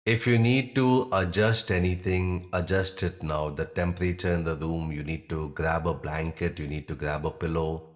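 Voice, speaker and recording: A man guiding a yoga nidra relaxation in a calm, measured manner, leaving long pauses between short phrases. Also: sits low. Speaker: yoga nidra meditation guide. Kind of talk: guided relaxation meditation.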